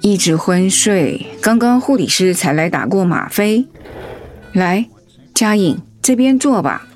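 Woman acting concerned friend, radio drama, medium-pitched voice, faint music underneath. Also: animated, a little slow, darkish tone, lightly acted. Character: caring female friend keeping watch at hospital.

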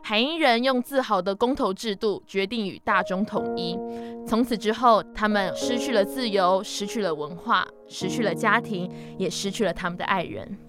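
A woman's voice: medium pitch, moderate pace, music in the background. A woman speaking as a podcast guest, giving a prepared-sounding introduction. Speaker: podcast guest, audio post-producer.

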